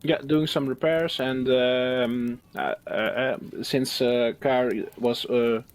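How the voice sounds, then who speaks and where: A man playing a tabletop roleplaying game, casually and haltingly thinking aloud about what his character does. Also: medium pitch, steady tone. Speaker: player.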